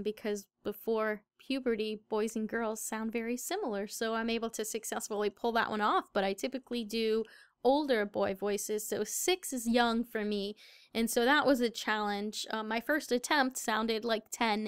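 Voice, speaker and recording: A woman's voice: a little high, fairly even intonation, normal speed, smooth, dry recording, clean studio sound. A very young-sounding woman with an American accent, answering interview questions as a voice actor. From Southern United States.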